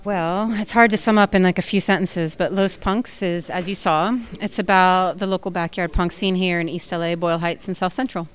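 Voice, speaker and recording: A woman's voice: on the low side, steady tone, normal speed, few pauses, clear, resonant tone. A woman film director answering interview questions in an earnest, enthusiastic way, with frequent fillers.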